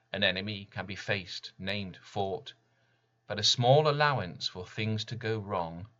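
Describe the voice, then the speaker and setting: Slow, low-pitched man reciting poetry dramatically. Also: sharp tone, lo-fi sound. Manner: deliberate, theatrical, intense delivery with weighty pauses. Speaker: poet reading own work.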